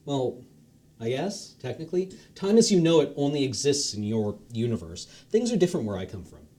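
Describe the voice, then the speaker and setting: A man's voice: medium pitch, very animated, brisk, dark tone, close to an everyday voice. A man reading the lines of a casual, patient male God who talks like a teacher. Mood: offhand. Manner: breezy and offhand, teacherly.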